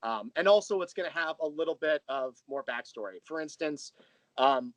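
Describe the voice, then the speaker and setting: Higher-pitched man chatting as an interview guest. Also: fairly bright tone, no echo, low-quality recording. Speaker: podcast creator and writer, interview guest. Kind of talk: casual interview chat.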